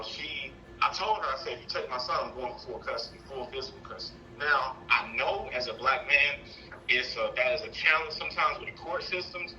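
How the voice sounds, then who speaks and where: A man's voice: mid-range voice, pushed and bright, slight background noise, low-quality recording. A man with an American accent calling in, telling his personal story in a casual, rambling way. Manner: rambling, frequent "you know". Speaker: caller, father seeking custody.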